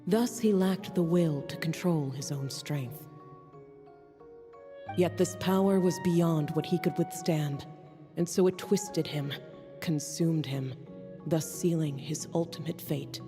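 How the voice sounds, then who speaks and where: An actress playing a cool, skeptical master alchemist in a video game, speaking in a formal, deliberate way. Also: female voice, fairly low pitch, warm tone. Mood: cold, grave. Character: cool, skeptical, aloof master alchemist woman, measured and exacting. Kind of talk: scripted video game voice acting. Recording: long reverb, faint music.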